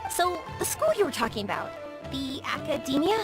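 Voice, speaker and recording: An actress playing a bubbly, excitable young woman traveler in an anime-style game, gushing and eager. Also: sits high, fairly quick. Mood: excited. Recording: music playing behind the voice.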